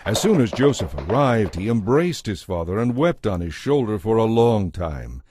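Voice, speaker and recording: A man narrating scripture from a script in a measured, solemn manner. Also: fairly low pitch, sing-song, noisy background. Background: music.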